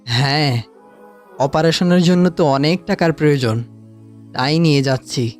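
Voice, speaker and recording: Man playing a courteous, higher-voiced worried father. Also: normal speed, faint music behind, lightly acted. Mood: worried, polite. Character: polite, worried father travelling at night. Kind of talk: animated cartoon voice acting.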